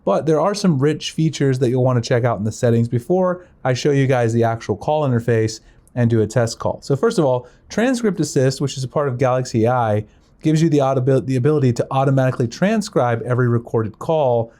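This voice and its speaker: A man's voice: medium pitch, animated, brisk, mellow. A man presenting a casual step-by-step phone tutorial in an informal, conversational, explanatory way.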